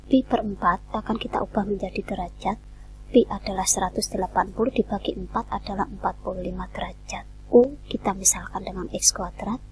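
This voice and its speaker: Female math tutor, breathy, methodical, mid-pitched, light hum behind. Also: poor audio. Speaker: math teacher. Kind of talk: step-by-step lesson narration.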